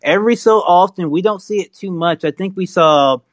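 A man's voice: high-pitched, moderate pace, no room sound, studio quality. A man hosting a call-in podcast, talking casually and bluntly and laughing often. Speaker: podcast host.

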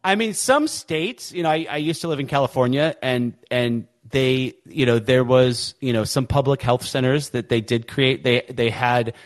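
A man's voice: mid-range voice, moderate pace, hardly any pauses. A man hosting a political podcast, talking in an impassioned, emphatic way. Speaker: podcast host.

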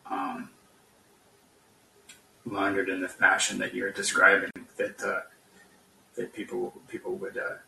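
Distant and roomy, this is a man giving his views as a guest in a political discussion. He speaks slowly and haltingly, often searching for the next word. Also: low voice, creaky, pushed and bright, a little hiss or hum. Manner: halting, hesitant, searching for words. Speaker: podcast guest. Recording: roomy, echoey, distant from the mic.

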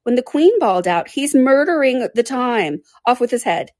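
A woman's voice: mid-range voice, lively intonation, moderate pace, darkish tone, husky, dry recording, clean studio sound, voice pushed up. An eccentric, contrary man from a storybook, performed by a woman reader. He speaks in a raised, emphatic voice, rude by temper with a mournful streak. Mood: dramatic.

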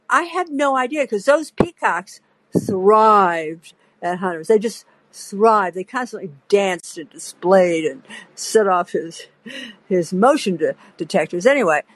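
A woman's voice: mid-range voice, no room sound, low-quality recording. Older woman telling rambling anecdotes. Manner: chatty, digressive, laughs mid-story. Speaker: podcast host.

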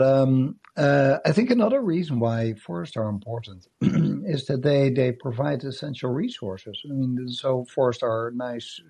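A man co-hosting a podcast in casual chat, losing his voice and clearing his throat, often repeating words as he restarts his thoughts. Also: medium pitch, mellow. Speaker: podcast co-host. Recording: call-in app audio.

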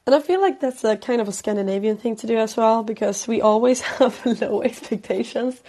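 A young Swedish woman speaks English with a clear Swedish accent, calling in as a guest. She sounds tired and searches for words, with lots of "uh" and "like", and laughs often between phrases. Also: a little high, fairly quick, warm tone. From Sweden. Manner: hesitant, many fillers, frequent laughs. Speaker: pop singer guest. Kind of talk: casual call-in interview. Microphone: phone call-in.

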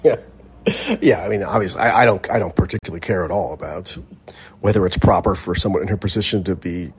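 A man's voice: deep voice, fast, slightly rough. A man hosting a call-in podcast, careful and qualifying what he says, with frequent restarts. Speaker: podcast host. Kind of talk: casual chat.

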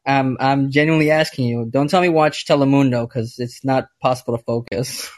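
A man's voice: mid-range voice, fairly even intonation, a little fast. A male caller on a podcast, chatty and jokey, often stumbling and restarting his sentences. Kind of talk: casual call-in chat.

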